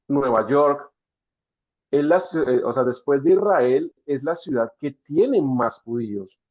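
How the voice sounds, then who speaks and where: A man's voice: on the high side, dull audio. A man from Colombia with a Colombian accent, a new participant in an online class, commenting in a rambling way with many restarts and fillers.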